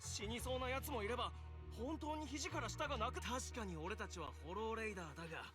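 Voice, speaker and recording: An actor as a fast, desperate scavenger raider. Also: medium pitch, natural voice. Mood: outraged. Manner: rough, pleading, indignant. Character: desperate, gruff male scavenger raider, indignant. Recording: loud game music behind. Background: game music.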